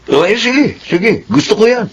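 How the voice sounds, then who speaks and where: A man's voice: sits high, expressive, moderate pace, a little hiss or hum, low-quality recording. A man with a Filipino accent playing an eager, cheeky coworker with a crush in a radio drama. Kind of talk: Tagalog radio drama acting.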